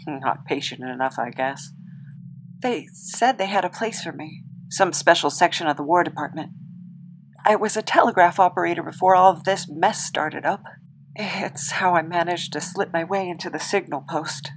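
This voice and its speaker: A woman's voice: lowish voice, moderate pace, slight background noise, natural voice, poor audio. A woman playing a guarded, self-doubting young soldier with a hesitant, understated way of talking in an audio drama. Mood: wry, subdued.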